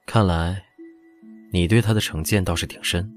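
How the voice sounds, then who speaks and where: An actor playing a calm, calculating older prince in an audio drama, composed and understated in manner. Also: male voice, low voice, normal speed, soft background music, natural voice. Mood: cool, amused.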